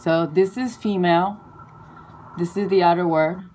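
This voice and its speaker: Slow, halting woman, Chinese native. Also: lowish voice, steady tone, darkish tone, a little hiss or hum. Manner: slow, halting, playful, with fillers and self-corrections. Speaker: video creator. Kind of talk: casual explainer lesson.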